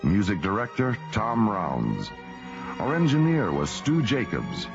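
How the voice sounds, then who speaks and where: A man narrating a radio drama as announcer, theatrical and deliberate. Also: fairly low pitch, wildly swooping pitch, creaky. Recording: muffled old radio recording, music bed. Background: dramatic music.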